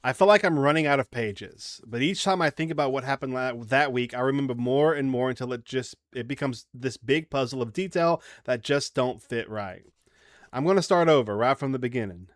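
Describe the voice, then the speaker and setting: A man's voice: mid-range voice, fairly quick, dry recording, studio quality, lightly acted. Man reading as haunted country boy, plainly narrated. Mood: somber, reflective. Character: haunted country boy Runt recounting his childhood. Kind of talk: reading visual novel narration aloud.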